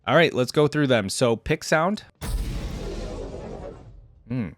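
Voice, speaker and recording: A man's voice: medium pitch, lively intonation, takes it slowly, no room sound, clean studio sound. A man hosting a reaction video, commenting in a conversational, enthusiastic way and reading aloud as he goes. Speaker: host of a game lore reaction channel.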